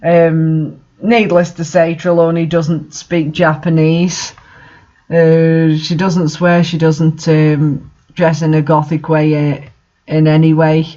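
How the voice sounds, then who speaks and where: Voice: medium pitch, monotone, slow, dark tone. A person casually commenting on fan fiction they read aloud, exasperated, incredulous and swearing freely. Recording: dull lo-fi microphone sound.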